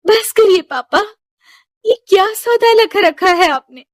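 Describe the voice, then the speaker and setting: A woman's voice: extremely high pitch, expressive, a little slow, no room sound, studio quality, voice pushed up. A woman voicing an emotional, principled young daughter, earnest and protesting, in a Hindi moral-story cartoon. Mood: hurt, angry.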